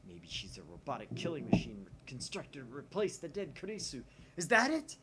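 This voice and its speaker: A man voicing a paranoid, theatrical young male mad scientist from a visual novel, excitable and suspicious. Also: mid-range voice, normal speed, very noisy, voice pushed up. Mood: outraged, accusing. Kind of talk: visual novel read aloud in character.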